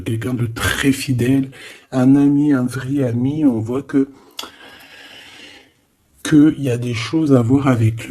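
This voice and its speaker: A man's voice: mid-range voice, dark tone. A man giving a casual, improvised tarot reading, speaking familiarly to the listener with hesitant, repetitive phrasing and frequent tag words. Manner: hesitant, repeats phrases, frequent "hein" tags, familiar address. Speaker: tarot card reader, host.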